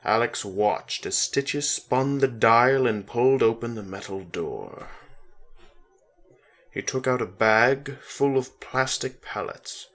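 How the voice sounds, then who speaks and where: A man's voice: mid-range voice, a bit bright. A man narrating a story as a dramatic reading.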